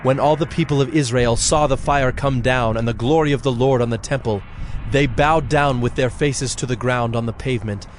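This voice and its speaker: A man reading scripted Bible narration in a measured, solemn, clearly articulated way. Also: mid-range voice, a little hiss or hum. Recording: background music under the voice.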